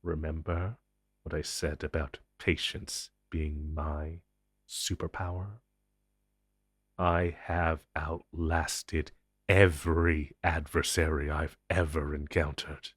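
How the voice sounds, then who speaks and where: Man playing a deep, husky, ancient supernatural commander. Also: no echo, clean studio sound, full character voice. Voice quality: low, husky. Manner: grave, commanding, deliberate with dramatic pauses. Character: ancient, powerful, possessive male supernatural being, grim secret-agency superior. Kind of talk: intense dramatic audio roleplay monologue addressed to listener.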